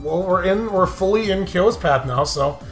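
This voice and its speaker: A man's voice: high voice, expressive, normal speed. A man co-hosting a let's play, chatting casually and jokingly and prodding his co-host, often breaking into laughter. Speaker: co-host of a gaming show. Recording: roomy echo, faint music behind. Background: faint music.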